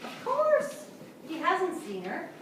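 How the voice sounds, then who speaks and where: A woman's voice: very high voice, huge pitch swings, slow, distant mic, heavily acted. A woman in a staged reading playing an anxious divorced mother, emphatic and theatrical. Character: Cynthia, anxious divorced mother of missing daughter. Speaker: actor in reader's theatre. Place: small theatre stage before an audience. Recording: echoey theatre from afar, noisy.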